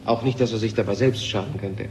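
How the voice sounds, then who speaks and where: An actor playing an embittered, brooding scientist in a German radio play, intense and sardonic. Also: male voice, lowish voice, fairly even intonation, fast talker, a little mellow, close to an everyday voice. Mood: grim, intense. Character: embittered, brooding male scientist, alarmed by a machine. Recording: hissy old radio recording with clicks.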